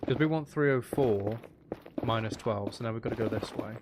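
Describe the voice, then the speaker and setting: A man's voice: mid-range voice, moderate pace, very noisy. A man giving casual gameplay commentary, muttering and thinking aloud to himself. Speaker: gaming video host.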